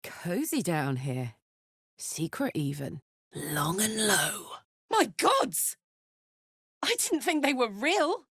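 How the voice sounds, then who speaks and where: A woman's voice: mid-range voice, sing-song, slow pace, pauses often, a bit bright, husky, dry recording, exaggerated voice. A woman voicing a brash, fiery horned warrior woman in a video game, talking bluntly and informally like a soldier, recorded dry. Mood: curious, casual, then amazed. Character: brash, fiery female devil-horned warrior with an infernal engine heart. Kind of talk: video game character voice lines.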